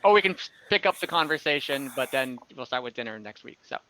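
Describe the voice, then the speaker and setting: A man narrating as game master of an online tabletop game, slow and halting, with many fillers. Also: mid-range voice, animated, pushed and bright, slight background noise, rough recording. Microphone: home microphone, online call.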